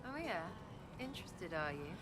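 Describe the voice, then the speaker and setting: A brash, irreverent young elf archer from a video game. She has an English accent and a very lively, swooping delivery, flippant and slangy in short bursts. Music plays clearly behind her. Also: lowish voice, normal speed. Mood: cheeky, guarded. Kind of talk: video game character acting.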